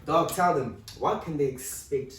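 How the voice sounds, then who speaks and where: A man's voice: fairly high pitch, a little slow, fairly bright tone, roomy sound, distant mic, slight background noise. A man from South Africa co-hosting a podcast in casual chat, rambling and repeating filler phrases. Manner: rambling, repeats "yeah, well" and "dog". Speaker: podcast co-host. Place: recording studio.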